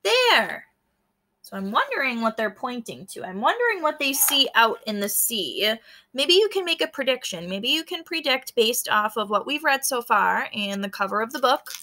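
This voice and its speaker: A woman teacher with a fairly high, very bright voice. She reads a children's book aloud and explains as she goes, speaking straight to her pupils. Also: sing-song, normal speed. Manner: explanatory, addressing pupils directly. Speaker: schoolteacher.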